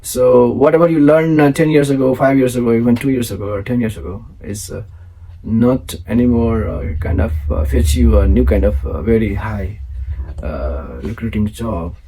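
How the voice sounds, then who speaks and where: Gravelly-voiced man hosting. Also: mid-range voice, warm tone, slight background noise, low-quality recording. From South Asia. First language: Maithili. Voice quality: gravelly, husky. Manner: halting, many fillers and restarts. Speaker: host. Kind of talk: solo show introduction.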